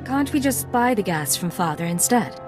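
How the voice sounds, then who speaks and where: An actress playing a composed young undercover agent in a video game, cool, polite and concise. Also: female voice, mid-range voice, lively intonation, moderate pace, darkish tone, soft background music, natural voice. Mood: businesslike. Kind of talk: video game cutscene acting.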